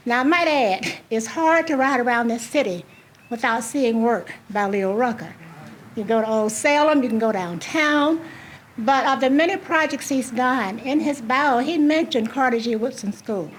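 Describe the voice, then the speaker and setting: A soft-spoken woman giving a heartfelt, storytelling speech as a program coordinator at a community event. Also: sits high, a little hiss or hum, poor audio. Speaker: program coordinator speaking at a ribbon cutting. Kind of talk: speech to an audience.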